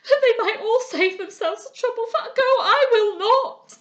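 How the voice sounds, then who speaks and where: A woman voicing a frantic young woman who wails high and tearful in grief. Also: moderate pace, a bit bright, highs cut off. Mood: sobbing.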